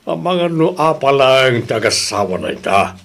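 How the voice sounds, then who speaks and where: A man's voice: medium pitch, very animated, a little slow, fairly bright tone, a little hiss or hum. A man playing an indifferent village captain in an audio drama, grudging and dismissive about helping. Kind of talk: Ilocano audio drama dialogue.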